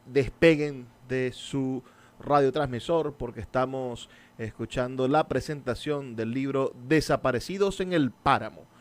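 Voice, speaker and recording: A man's voice: mid-range voice, darkish tone. A man hosting a radio book program, introducing segments in a formal announcer's manner and putting questions to his guest. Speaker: radio host. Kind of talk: radio show hosting and interviewing.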